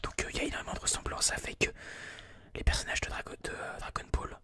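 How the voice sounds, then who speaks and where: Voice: fast talker, bright, forceful tone, no echo. A person whispering informal, hesitant gameplay commentary in an ASMR style, thinking aloud close to a binaural microphone. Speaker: video game streamer, ASMR host.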